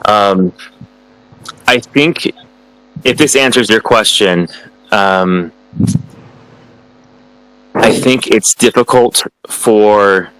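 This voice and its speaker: American man, guest on a video call, low-pitched, filler-heavy, halting, dry close sound. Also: fairly bright tone, clear, resonant tone, dry recording, poor audio. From United States. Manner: hesitant, many fillers and restarts. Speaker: guest speaker answering students. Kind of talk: casual online conversation.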